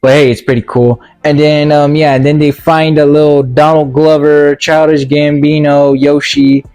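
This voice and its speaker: A man giving a casual, opinionated movie review as a video commentator, in a halting, repetitive, slangy way. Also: fairly high pitch, flat delivery, darkish tone.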